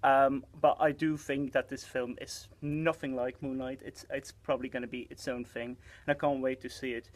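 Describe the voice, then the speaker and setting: A man's voice: mid-range voice, level tone, moderate pace, hardly any pauses. A man from Belgium, a cinema box office supervisor, chatting casually about films with many fillers. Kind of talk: casual film chat.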